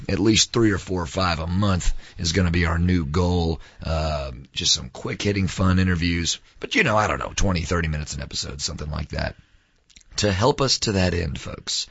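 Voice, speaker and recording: Hoarse, raspy man with a deep voice. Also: no echo, highs cut off. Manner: animated, mock-grandiose, folksy. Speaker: podcast host. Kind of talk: casual chat. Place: home recording studio.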